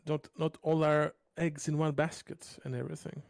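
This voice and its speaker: Even-toned, creaky man playing a tabletop game. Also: fairly high pitch, normal speed, no echo, clean studio sound. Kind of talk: casual in-character chat.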